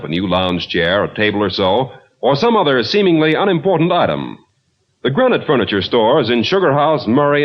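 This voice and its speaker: Animated man, vintage radio announcer. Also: mid-range voice. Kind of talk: old-time radio announcing and sponsor pitch. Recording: dull lo-fi old radio recording.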